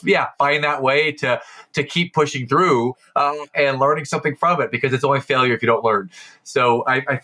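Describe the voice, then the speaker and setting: A man's voice: mid-range voice, moderate pace, sharp tone. A man hosting a podcast, chatting enthusiastically and repeating words as he thinks. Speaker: podcast host. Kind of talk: casual interview chat.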